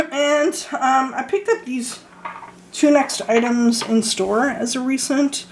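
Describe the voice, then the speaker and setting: A young woman from Canada chatting casually about her fragrance purchases, hoarse and losing her voice, hesitant with many ums and frequent throat clearing. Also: high voice, slow pace, slight background noise, low-quality recording. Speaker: vlogger showing fragrance purchases. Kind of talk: casual haul chat.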